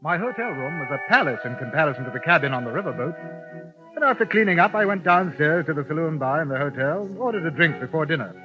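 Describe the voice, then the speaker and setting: An actor with an English accent playing a courteous, dry-witted journalist and narrator in a 1950s radio western. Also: male voice, a little high, animated, quick pace, natural voice. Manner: courteous, formal and lightly ironic. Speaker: newspaper correspondent and narrator. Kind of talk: 1950s radio drama acting. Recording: dull old radio broadcast with faint music.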